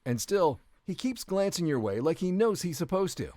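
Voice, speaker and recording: A man's voice: high-pitched, sing-song, moderate pace, darkish tone, no echo. A man narrating a story video in the second person, with a wry, jokey delivery.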